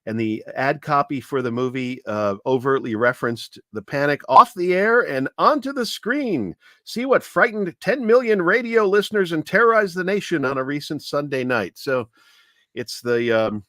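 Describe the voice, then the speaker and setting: Man telling history in a measured way, dry sound. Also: mid-range voice, fairly bright tone, dry recording. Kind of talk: informal historical talk with anecdotes.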